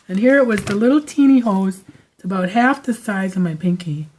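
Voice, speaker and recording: A raspy-voiced woman rambles through a homey anecdote, full of "like" and "you know." She talks into the camera's own mic rather than a clip-on. A faint noise sits under her voice. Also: mid-range voice, a little fast, a little mellow, low-quality recording. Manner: chatty, digressive, lots of fillers. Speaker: crafting channel host. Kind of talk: rambling casual chat. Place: home. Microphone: no microphone, camera mic.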